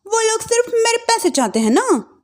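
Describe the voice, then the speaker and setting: Woman voicing a very high-pitched, sassy young sister-in-law. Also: big pitch swings, moderate pace, a little mellow. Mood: explaining. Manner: cheeky, assertive, theatrical. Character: sassy, bold, clever young sister-in-law with a government job. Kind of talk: animated Hindi family story dramatization.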